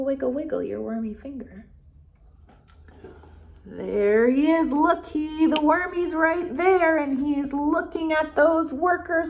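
An American woman in her forties leading a children's Bible storytime as pastor, speaking in simple, deliberate phrases meant for young children. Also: sits high, darkish tone. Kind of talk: children's storytime, reading and explaining. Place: small office, indoors.

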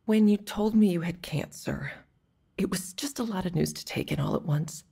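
Apologetic wife, low breathy voice with some fry, earnest, radio drama. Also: female voice, moderate pace, warm tone, exaggerated voice. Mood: earnest, contrite. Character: estranged wife, hurt but apologetic.

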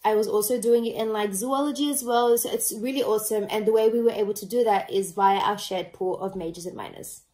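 A woman's voice: mid-range voice, fairly quick, few pauses, dark tone. A woman in her twenties, a university student speaking enthusiastically about her studies into a webcam. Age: 20s.

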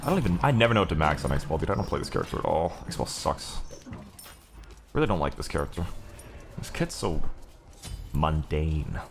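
A man streaming a video game with casual, profane commentary, excitable and sarcastic in manner. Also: a bass voice, brisk, pauses often, background noise.

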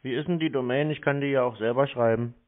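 A man's voice: mid-range voice, steady tone, fast. A man in a casual phone conversation as a customer, answering in a dry, stubborn way. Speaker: scam-baiter posing as customer. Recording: muffled narrow-band phone line.

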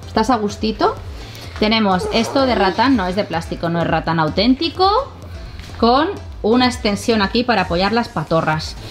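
A woman's voice: medium pitch, very animated, moderate pace. A mother giving a chatty, playful house tour, laughing often, outdoors by a pool over background music. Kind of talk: casual family vlog narration.

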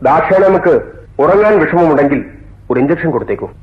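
Actor as a stern, measured prison doctor, muffled. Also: male voice, on the high side, lively intonation, lightly acted. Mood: brisk, instructing. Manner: measured, formal, admonishing. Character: composed, stern prison doctor counselling a patient. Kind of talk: theatrical radio drama acting. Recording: dull, lo-fi radio recording.

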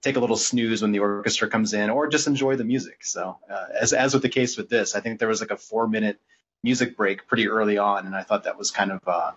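A man chatting casually as a podcast co-host, in a halting, rambling way. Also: fairly low pitch, a bit bright, husky. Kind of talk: casual chat. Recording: dull, lo-fi podcast audio.

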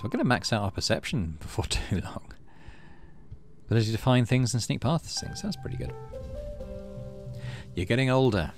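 A middle-aged man hosting a let's play, reading game text aloud and thinking out loud as he plays. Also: lowish voice. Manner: thinks aloud while playing.

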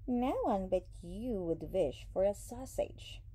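A woman's voice: medium pitch, lively intonation, slow, warm tone, breathy edge. A woman voicing a nagging, exasperated wife in a storybook, full of exclamations. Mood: angry shouting. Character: scolding, shrill, exasperated woodsman's wife. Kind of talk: storybook character voice.

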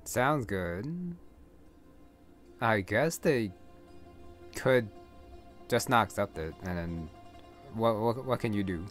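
A man's voice: fairly low pitch, lively intonation, slow, pauses often, fairly bright tone, faint music behind. A man giving casual gameplay commentary, rambling as he thinks aloud. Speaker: gaming video host. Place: voice-over with game music behind.

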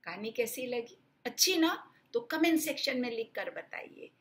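A woman's voice: fairly high pitch, expressive, moderate pace, a bit bright. An older woman in her sixties telling a children's story with dramatic emphasis, speaking directly to young listeners as host. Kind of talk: expressive bedtime storytelling for children.

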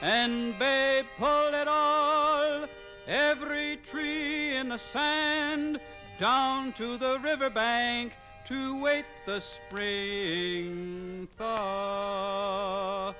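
A folksy lumber-camp balladeer, singing tall tales in a very high register. The delivery is drawn right out, with music clearly behind it. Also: male voice, steady tone, very slow, sharp tone, heavily acted, rough recording. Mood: playful, folksy. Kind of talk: sung folk ballad with musical backing.

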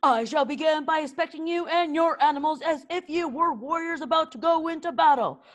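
Nasty Viking drill master, shouty and high, storybook, voiced by a woman. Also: a little fast, natural voice. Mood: bossy. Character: gruff, bad-tempered male Viking trainer.

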